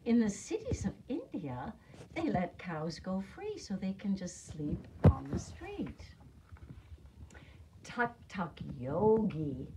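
An older woman, in her sixties, reading aloud to young children as their teacher, with deliberate, expressive, simple phrasing. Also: medium pitch. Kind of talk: children's read-aloud storytelling. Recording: noisy amateur room recording with clicks.

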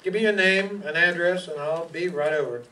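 A man's voice: high-pitched, moderate pace, pushed and bright. An older man playing a wisecracking, breezy, tough private detective in old-time radio style. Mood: brisk, businesslike. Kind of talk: 1940s radio acting, with narration. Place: meeting room stage before an audience. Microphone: stand microphone, filmed from far back. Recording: distant room mic, echoey, noisy.

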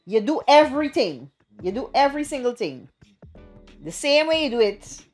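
A woman's voice: sits high, moderate pace, soft background music. A middle-aged woman in a casual podcast debate, insistent as she makes her point. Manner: insistent, animated, gesturing. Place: small indoor lounge studio. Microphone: podcast microphone on boom arm.

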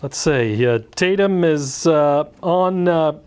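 A man's voice: fairly high pitch, animated, slow, a little mellow, clean tone. A middle-aged man, a guest panelist on a local sports talk show, speaking hesitantly with many fillers in a small studio on a lapel mic. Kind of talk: casual sports chat. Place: small brick-walled TV studio set.

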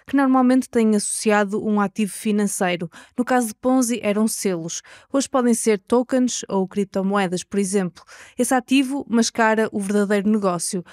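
A woman's voice: mid-range voice, a little mellow, no room sound, clean studio sound. Woman narrating in European Portuguese. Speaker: podcast reporter and narrator. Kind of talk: scripted investigative narration.

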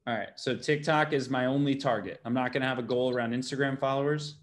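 A man's voice: mid-range voice, fairly even intonation, fast talker. A man in his thirties chatting informally on a video call, asking questions as he seeks advice. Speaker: business owner seeking advice. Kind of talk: casual chat on a one-on-one call. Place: bright indoor room at home, video call.

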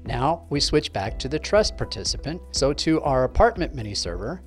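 Man presenting with lively intonation. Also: mid-range voice, normal speed, soft background music. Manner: clear step-by-step explaining. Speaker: presenter of a tech tutorial video. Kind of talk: scripted instructional narration.

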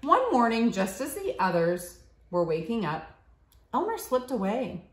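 A woman's voice: fairly high pitch, big pitch swings, a little slow. A woman in her thirties reads a picture book aloud expressively to a child, in simple teacherly phrases, recorded in a living room a short way from the camera. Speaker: school staff member reading aloud to a child. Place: living room, seated on a leather couch. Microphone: camera a short distance away. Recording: roomy, echoey home recording.

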